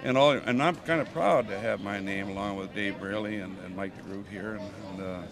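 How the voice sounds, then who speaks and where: Older man, lowish voice, halting interview in a busy hallway with crowd noise behind. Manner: rambling, halting, with many restarts. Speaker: donor interviewed at stadium opening. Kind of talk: casual interview. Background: crowd chatter.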